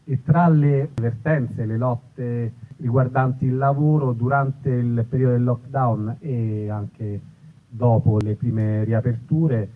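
A man's voice: medium pitch, fairly even intonation, slow pace. Man as live interviewer, mid-range voice, discursive and thoughtful, narrow phone-like audio. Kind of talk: casual on-stage interview. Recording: thin phone-like live recording.

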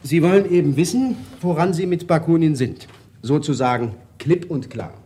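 A man's voice: on the high side, normal speed, lightly acted. An actor playing a worldly, amused, cynical bourgeois ex-revolutionary, telling his stories expansively and sardonically in a radio drama. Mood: confiding, ironic. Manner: sardonic, animated, expansive storyteller. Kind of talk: 1970s German radio drama acting.

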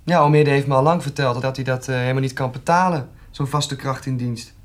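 A young man playing a shy but keen student with farming dreams in a Dutch radio drama, speaking haltingly. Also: mid-range voice, fairly even intonation, natural voice. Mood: bashful, keen. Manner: halting, bashful.

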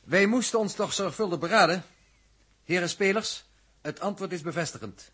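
A man's voice: high voice, normal speed, background noise, lightly acted. An actor playing a cautious, literal-minded spaceman, hesitant and precise, in a 1960s science-fiction radio drama. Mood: precise, formal.

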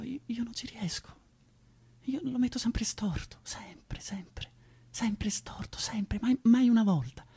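A male actor playing an anxious, self-doubting man in a halting theatrical radio monologue, with a husky, breathy voice that moves between whispers and outbursts. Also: fairly high pitch, a little mellow. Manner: halting, stammering, repeating words. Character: anxious, self-doubting man confessing his own limits.

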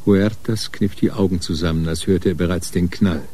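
A man narrating a radio crime drama from a script in a measured, sober way. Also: sits low, fairly even intonation, heavy background noise.

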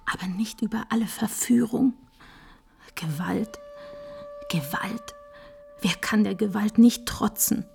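A woman playing a devout, passionate young daughter in a classical radio tragedy, with a breathy, husky voice and theatrical, pleading delivery. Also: mid-range voice, dark tone. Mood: fervent, hushed. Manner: slow, fervent, theatrical whispering and pleading. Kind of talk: mid-century German radio play acting, classical tragedy.

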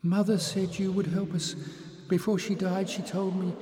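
A man voicing a timid young slave girl with a soft, breathy, sighing voice. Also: on the low side, steady tone, moderate pace, reverberant, long reverb tail, a little hiss or hum, voice pushed up, close to an everyday voice. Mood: eager rushing.

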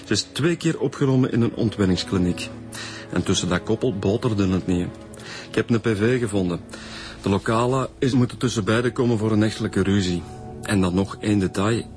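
An actor playing a keen Flemish detective inspector in a radio drama, explaining things in a colloquial way. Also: male voice, lowish voice, fairly quick, a little mellow, faint music behind, lightly acted. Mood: matter-of-fact, keen. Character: keen male detective inspector setting out his findings. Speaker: actor in a radio police serial.

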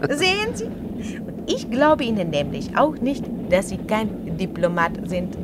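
A woman's voice: mid-range voice, huge pitch swings, unhurried. Coy, swooping-voiced woman traveller, noisy train. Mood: coy, teasing. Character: coy, flirtatious woman traveller posing as a spy. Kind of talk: radio play acting.

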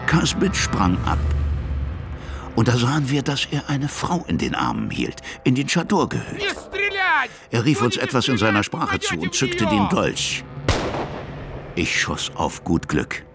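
An actor playing a middle-aged, plain-hearted army captain who tells frontier tales vividly in a radio drama. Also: male voice, medium pitch, wildly swooping pitch, a little slow, pauses often, music in the background. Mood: tense, excited. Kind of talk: radio drama narration and dialogue.

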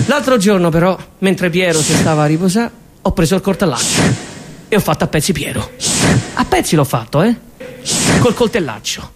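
A man with a Roman accent playing a fed-up Roman woman in a comic stage monologue, complaining in a deadpan, repetitive, mock-reasonable way. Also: high-pitched. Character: Roman woman, fed up with lazy live-in partner.

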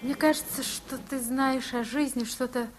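An actress playing an adoring, timid, naive young wife with a wistful, earnest manner in a radio play. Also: female voice, high-pitched, steady tone, moderate pace, natural voice. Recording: clearly noisy ambient background.

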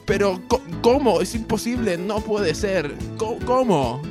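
Casting: male actor as melodramatic suffering youngster, high-pitched, whiny, for a parody drama promo over music. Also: animated, a little slow. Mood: desperate disbelief. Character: despairing, melodramatic youngster begging to end his misery.

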